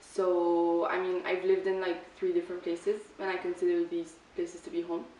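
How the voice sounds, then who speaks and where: An eighteen-year-old woman from Lebanon answering personal questions in a relaxed, reflective way, full of "like" fillers. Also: lowish voice, normal speed, far from the mic. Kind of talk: casual personal answers to camera. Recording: echoey room, speaker set back from the mic, faint hiss.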